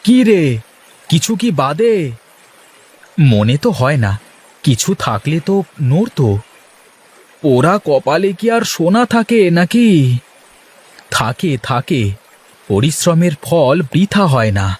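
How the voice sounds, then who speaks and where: A man voicing a poor, excitable village fisherman in a folksy moral-story cartoon. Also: a little high, slow pace, pauses often, husky, full character voice. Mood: doubtful, hopeful.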